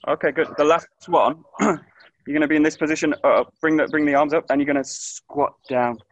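A man in his thirties teaching an online aikido class, giving calm, short coaching cues outdoors in a grassy orchard, recorded on a camera mic as he moves nearer and farther. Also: fairly high pitch, brisk, dry recording, dull audio. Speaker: aikido instructor. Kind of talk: live online class instruction. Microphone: camera mic, distance varies as he moves.

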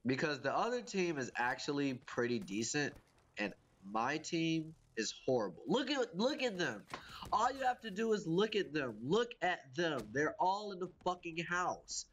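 A man's voice: fairly high pitch, very animated, unhurried, fairly bright tone, slight background noise. A young man with a congested, sniffly voice from a cold, giving casual, profane gameplay commentary and ranting about his teammates. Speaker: gaming YouTuber.

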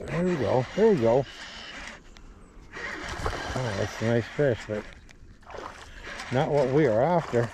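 A man's voice: medium pitch, animated, slow pace, long pauses, background noise. A man giving casual running commentary as he fishes outdoors for a vlog. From Canada. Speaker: fishing vlogger. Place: outdoors at a small pond bank. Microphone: body-worn action camera.

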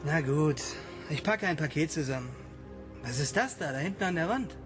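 A man's voice: on the high side, expressive, normal speed, music in the background, lightly acted. An actor playing a bold, curious young man turned amateur investigator, speaking colloquially and urgently in a radio drama. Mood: insistent, curious. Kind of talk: German radio drama acting.

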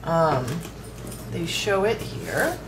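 A woman with a slightly low voice talks through a toy demo, chatty and explanatory. The recording carries a clear layer of background noise. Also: big pitch swings, drawn-out words. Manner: chatty, explaining as she plays. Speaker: toy reviewer.

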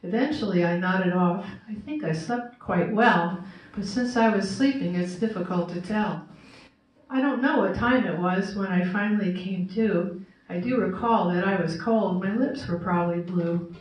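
Older woman reading in an echoey room. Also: medium pitch. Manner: rhymed, measured reading from a page. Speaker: open mic performer. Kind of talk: poem recital and casual stage remarks. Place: small indoor stage with brick wall. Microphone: distant camera in the audience, stage mic on stand. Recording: echoey stage room, distant muffled camera audio.

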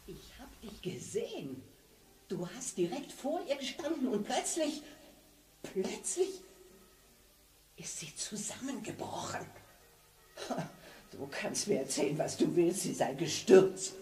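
A woman's voice: medium pitch, big pitch swings, slow, long pauses, mellow, roomy sound, distant mic. An actress playing a frightened young woman who accuses a man and then screams for help in a radio play. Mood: fearful. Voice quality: panicked, rising to screams.

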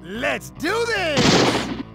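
A man's voice: extremely high pitch, sing-song, very slow, gravelly, exaggerated voice. A man playing a brash, fun-loving young half-robot superhero in a cartoon, cocky and boisterous. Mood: gung-ho. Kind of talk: cartoon voice acting. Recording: loud music behind the voice.

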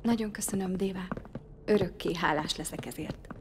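An actress playing a grieving widow in an audio drama, pleading and emotional. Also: female voice, lowish voice, noisy background, natural voice. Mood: grateful. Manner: soft-spoken, pleading, emotional.

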